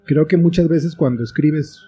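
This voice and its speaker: A man's voice: a little high, moderate pace, warm tone, faint music behind. A man talking as an interview guest, explaining his thoughts reflectively in short phrases with examples. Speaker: guest, children's book author and screenwriter.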